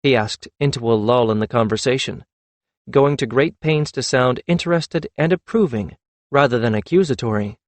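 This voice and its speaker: A man reading a fantasy novel aloud at a steady, measured pace, with a mid-range voice. He sits close to the microphone in a dry, deadened booth with no echo at all. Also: medium pitch. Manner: measured, even storytelling. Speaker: audiobook narrator. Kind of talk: scripted fantasy audiobook narration.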